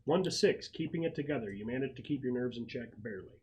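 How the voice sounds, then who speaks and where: A man running a tabletop roleplaying game as game master, narrating action and explaining rules in an informal, halting way. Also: mid-range voice, animated, darkish tone, rough recording. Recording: clear background noise.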